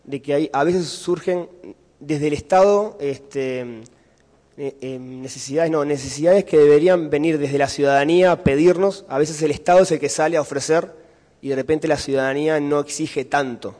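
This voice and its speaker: A man's voice: on the high side, moderate pace, darkish tone. A man with a Uruguayan Spanish accent giving a conference talk and answering questions, explaining step by step with fillers and checking in with the audience. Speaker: conference speaker. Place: conference hall. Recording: hall reverb from a lecture room.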